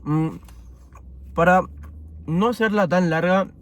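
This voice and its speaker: A man's voice: high voice, slow. Chatty young man from Buenos Aires. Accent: Argentine Spanish, Buenos Aires. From Argentina. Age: 20s. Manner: relaxed and chatty, many fillers, talking with his mouth full at times. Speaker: vlogger. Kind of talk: casual first-person vlog while eating. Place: indoors, eating fast food.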